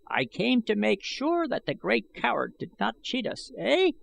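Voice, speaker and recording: A man voicing a bent, eager old man with excitable, old-fashioned pleading speech, as an audiobook character. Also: high voice, unhurried, vocal fry, slight background noise, pitched above the performer's own voice, full character voice. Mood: excited, mocking. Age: older.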